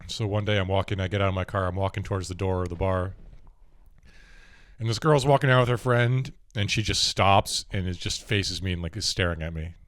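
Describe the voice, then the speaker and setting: Young guy w a deep low voice, dry sound, just rambling thru a story and laughing. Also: a little fast, a bit bright, no echo. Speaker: podcast host. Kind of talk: casual storytelling chat.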